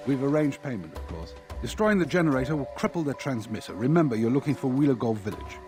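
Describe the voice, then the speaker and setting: An actor playing a cynical middle-aged mercenary fixer in a video game, briefing in a dry, matter-of-fact way. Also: male voice, mid-range voice, very animated, quick pace, lightly acted. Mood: businesslike. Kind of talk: video game cutscene acting. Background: music.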